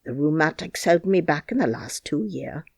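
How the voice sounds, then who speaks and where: A woman voicing a crabbed, stubborn old gardener with a Yorkshire dialect, speaking dryly and slowly. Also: sits low, expressive, gravelly, a little mellow, breathy edge, dry recording, full character voice. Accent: Yorkshire dialect, put on. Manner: dry, grumbling, slow. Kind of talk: audiobook character reading.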